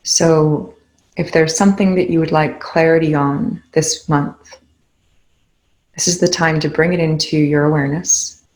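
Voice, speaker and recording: A woman leading a guided meditation, speaking in a calm, gently instructive way with unhurried spoken cues. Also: sits low, low-quality recording.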